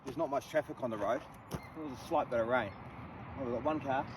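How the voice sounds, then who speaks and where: A man's voice: medium pitch, fairly quick. A man with a New Zealand accent talking casually to camera as a motorcycle vlogger, rambling and laid-back with lots of ums and restarts. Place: outdoors on a motorcycle by the highway. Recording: distant voice buried under loud wind and traffic noise.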